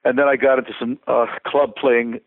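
A man's voice: mid-range voice, level tone, moderate pace, no room sound. An older man from Connecticut talks through a tinny, phone-like line that sounds dry and close. His talk rambles along, full of restarts and small fillers, in a casual interview manner. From Connecticut, USA. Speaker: guest, conductor and composer.

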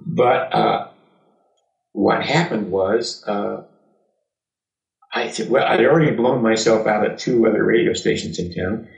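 An older American man, a voice actor, tells personal stories in an emphatic, animated way with dramatic pauses. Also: fairly low pitch, gravelly. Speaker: voice actor and former radio announcer. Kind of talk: autobiographical storytelling to an audience. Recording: echoey room, distant mic.

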